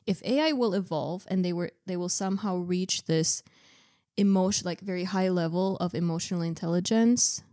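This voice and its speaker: Young woman, lowish voice, chatty and thoughtful, says like a lot, dry close mic. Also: moderate pace, dark tone, no room sound. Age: young adult. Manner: chatty, reflective, uses "like" a lot. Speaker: podcast co-host. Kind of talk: casual chat.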